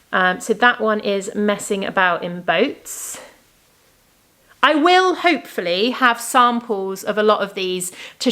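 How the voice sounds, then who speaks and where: A woman's voice: mid-range voice, a bit bright. A woman hosting a crafting podcast, chatting enthusiastically and rambling as she shows off her work, her voice slightly worn. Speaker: crafting podcast host and yarn dyer. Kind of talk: casual show-and-tell chat.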